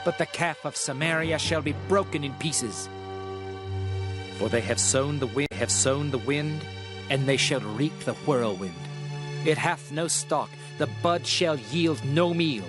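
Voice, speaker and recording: A man's voice: a little high, unhurried, music in the background, close to an everyday voice. A man playing a stern prophet who speaks for an angry God, declaiming scripture solemnly in a dramatized reading. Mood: stern, wrathful.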